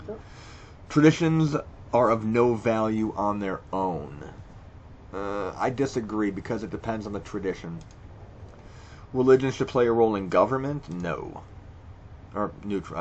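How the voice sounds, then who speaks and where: A man with a fairly low voice reads quiz statements aloud and answers them on the spot. He is blunt and profane, often stammering and restarting as he thinks. A faint hiss sits under the recording. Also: muffled recording. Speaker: video creator. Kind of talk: reading quiz questions aloud and reacting. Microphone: screen recording with voice-over.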